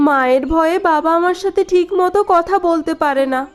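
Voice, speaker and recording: A woman voicing a soft, dutiful young bride. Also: very high voice, brisk, soft background music. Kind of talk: cartoon voice acting.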